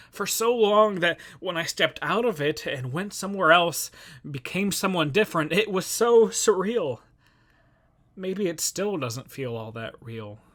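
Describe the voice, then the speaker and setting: A man plays a nervous young nonbinary film fan in a stage monologue. The voice sits fairly high for a male one. The speech rambles and stumbles over itself, self-deprecating throughout. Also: a bit bright. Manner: halting, rambling, self-interrupting. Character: anxious, self-deprecating nonbinary young moviegoer talking to a poster. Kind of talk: dramatic monologue reading.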